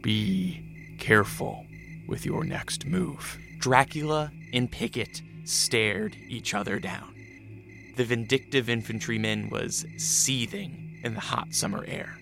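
An actor playing a grave, brooding vampire who also narrates a radio drama in a measured way. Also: male voice, mid-range voice, vocal fry, a bit bright, faint music behind. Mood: grave, guarded.

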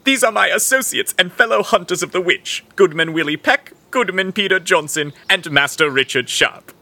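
Creaky, sharp-voiced eager Puritan. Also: male voice, sits high, lively intonation, normal speed, no room sound, full character voice. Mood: proudly formal. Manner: earnest, over-eager. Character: eager, starstruck small-town Puritan club leader man. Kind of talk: audio theater comedy acting.

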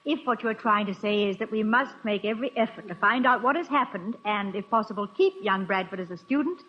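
An actress playing a college president's gracious, teasing wife in 1950s radio comedy, husky, playful and tactful. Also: female voice, medium pitch, fairly quick, lightly acted. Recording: muffled vintage radio recording.

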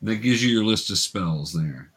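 A man's voice: on the low side, animated, normal speed, a bit bright, slightly rough. A man acting as game master, conversationally narrating a tabletop game and patiently explaining things step by step to young players.

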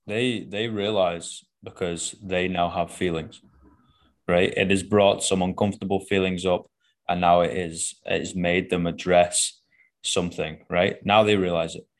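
Deep-voiced blunt Northern English coach. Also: male voice, little pitch movement, unhurried. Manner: blunt and profane, says "right?" and "man" after almost every point. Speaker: fitness coach. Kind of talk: casual podcast chat.

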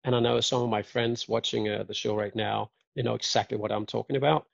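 Gravelly husky man chatting. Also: medium pitch, fast talker, no echo. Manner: joking, lively, chatty storyteller. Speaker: podcast guest. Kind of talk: casual chat. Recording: dull lo-fi audio.

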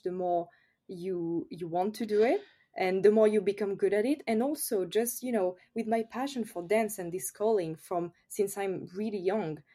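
A woman's voice: mid-range voice, warm tone. A French woman with a French accent, a yoga teacher chatting openly as a podcast guest, with many "you know"s. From France. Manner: chatty, open, frequent fillers like "you know". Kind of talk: casual podcast interview.

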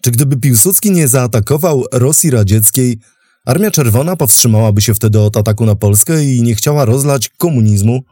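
A man hosting a history podcast, delivering scripted documentary narration in a measured, dramatic storytelling manner. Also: medium pitch, dark tone, no room sound, studio quality.

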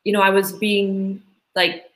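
A woman's voice: medium pitch, little pitch movement, a little slow, fairly bright tone, rough recording. A woman from Tanzania, a school board candidate, answering interview questions in an eager, chatty way, full of "like" and "you know".

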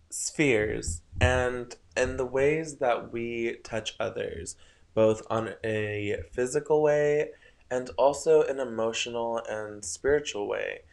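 A man's voice: mid-range voice, slow, vocal fry. A young man whose first language is Spanish hosts a casual solo podcast late at night, rambling about spiritual ideas and often tripping over his words. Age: young adult. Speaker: podcast host.

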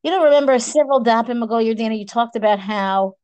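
A woman's voice: fairly high pitch, fast, a little mellow, clean tone, dry recording, muffled recording. A woman co-teaching a study session, reflecting aloud with hesitant restarts. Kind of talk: study discussion.

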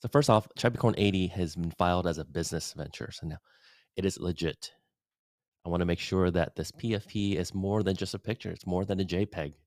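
A man's voice: deep voice, fairly quick, a little mellow, no room sound. A man hosting his own podcast, recording a casual, rambling, upbeat update alone at his desk on a studio mic in a home office. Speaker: podcast host giving an update. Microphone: studio dynamic mic at desk.

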